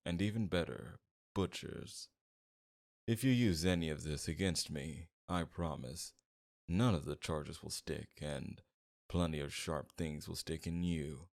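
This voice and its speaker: A man playing a smug, flirtatious and menacing mob boss in an ASMR roleplay, with a suave, teasing delivery and a gravelly voice. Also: sits low, darkish tone, full character voice. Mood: menacing flirtation. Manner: teasing, suave, drawn-out flirtation with veiled threats. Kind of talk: ASMR roleplay, scripted character acting.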